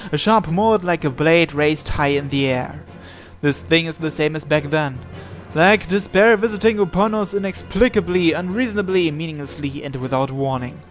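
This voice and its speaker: A man reading a visual novel aloud as dramatic first-person narration, in a theatrical, deliberate way with short phrases. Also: a little high.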